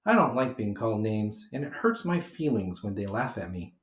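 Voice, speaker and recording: A man's voice: fairly low pitch, very animated, moderate pace. A man voicing a shy, worried little schoolboy from a children's storybook, timid and earnest. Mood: hurt, curious. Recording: roomy homemade recording.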